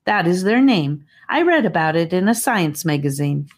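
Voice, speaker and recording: A woman's voice: on the low side, very animated, moderate pace. A woman voicing a proud, knowledgeable young boy in an audiobook, explaining things in a precise, knowing manner.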